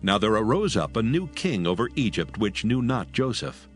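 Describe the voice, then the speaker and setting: A man narrating scripture in a measured, solemn reading. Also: on the low side, big pitch swings, faint music behind.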